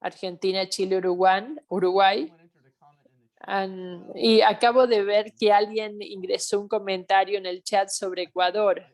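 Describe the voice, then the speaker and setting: Woman interpreting a lecture, halting pauses. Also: mid-range voice, steady tone, a little mellow. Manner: halting delivery with pauses and restarts. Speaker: simultaneous interpreter. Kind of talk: interpreting a health training webinar.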